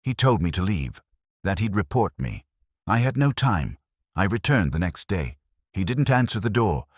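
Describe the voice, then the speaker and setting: A man voicing a cornered impostor in a detective audiobook, confessing defensively in terse, halting phrases. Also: low voice, sing-song, husky, no echo, studio quality, pitched above the performer's own voice, exaggerated voice. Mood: defensive confession.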